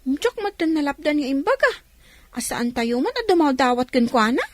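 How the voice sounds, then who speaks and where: Very high-pitched, defiant young girl. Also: big pitch swings, moderate pace, no echo. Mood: hurt, protesting. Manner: plaintive, answers back. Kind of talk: comedy radio drama acting.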